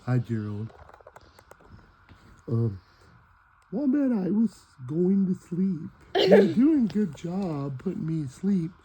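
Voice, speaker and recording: Woman doing a low, groggy, hesitant puppet character. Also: very animated, slow, pauses often, warm tone, slightly rough, dull audio, heavily acted. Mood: sleepy, grumbling. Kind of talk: improvised puppet show.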